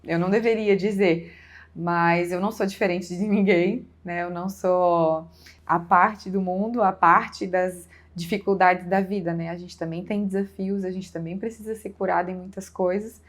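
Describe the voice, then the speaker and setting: A woman's voice: on the low side, steady tone, normal speed. A woman pastor with a southern Brazilian accent, giving an informal personal testimony as a guest, with frequent conversational check-in tags.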